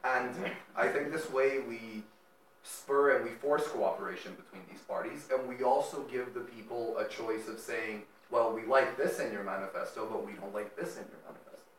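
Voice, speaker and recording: A man's voice: mid-range voice, moderate pace, a bit bright. A young man from Serbia, a student, earnestly laying out a long proposal as an audience question at a panel. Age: young adult. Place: lecture room. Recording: echoey room, distant mic, faint noise.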